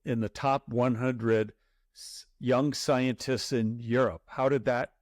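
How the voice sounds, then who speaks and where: A man hosting an interview show, reading a smooth introduction and asking questions with some hesitation. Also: medium pitch, steady tone, slow, dry recording, clean studio sound. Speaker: podcast host. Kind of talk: interview host with read intro.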